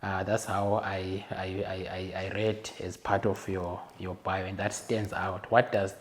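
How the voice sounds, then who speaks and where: A man's voice: sits low, flat delivery, a little slow. A man hosting a podcast, welcoming his guest and asking questions with many hesitations and restarts. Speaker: podcast host. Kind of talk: interview hosting.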